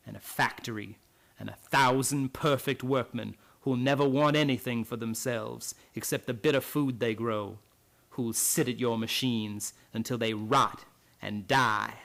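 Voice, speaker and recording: An actor playing a bitter old servant in a radio drama, speaking in deliberate, folksy, menacing phrases. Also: male voice, mid-range voice, gravelly, a bit bright. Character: bitter old servant boatman, gloating with lifelong hatred.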